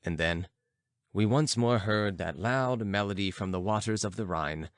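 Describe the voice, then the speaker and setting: A man's voice: on the low side, normal speed, clean tone, no echo, clean studio sound. A man reading a literary audiobook as its narrator, in a scripted storytelling style.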